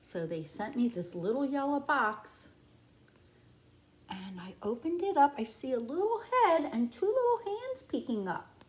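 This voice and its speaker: Lady doing storytime for little kids, kinda high voice, super animated, teacher-y and full of wonder. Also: measured pace. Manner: expressive, exaggerated wonder for small children. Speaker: preschool teacher reading to class. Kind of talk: children's picture book read-aloud.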